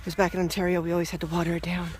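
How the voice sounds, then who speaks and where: A woman's voice: lowish voice, little pitch movement, rapid-fire, a little mellow. A woman from Toronto, chatting upbeat to the camera as she walks a snowy city street. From Toronto, Canada. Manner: chatty, upbeat, talking to camera while walking. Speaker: vlogger. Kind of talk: casual walking vlog. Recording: outdoor street and traffic noise. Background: traffic.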